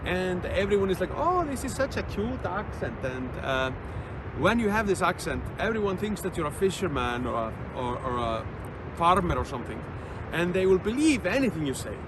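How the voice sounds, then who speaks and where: A man from Iceland with an Icelandic accent, telling jokes in a playful, deadpan way as a troubadour. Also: high-pitched, normal speed, noisy background. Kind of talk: comic storytelling.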